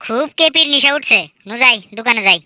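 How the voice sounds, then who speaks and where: A woman doing comic cartoon voices with a rural Bangladeshi Bangla dialect, lively, boastful and teasing. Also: a little high, a little fast, no room sound. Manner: lively, boastful, teasing village-kid banter. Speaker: cartoon voice artist and narrator. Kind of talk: comedic cartoon voice acting.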